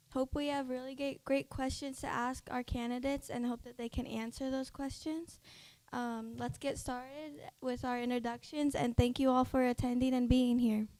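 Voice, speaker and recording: High, even-toned teenage young woman. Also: moderate pace, no room sound. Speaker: student moderator, youth council president. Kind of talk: formal welcome and introduction. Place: live candidate forum before an audience.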